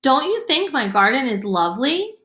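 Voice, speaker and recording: A woman's voice: sits high, sing-song, normal speed, a bit bright, voice pushed up. A woman voicing a sing-song, easily offended older Southern neighbor lady for a storybook. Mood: proud. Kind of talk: storybook character voice. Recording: roomy, echoing room sound.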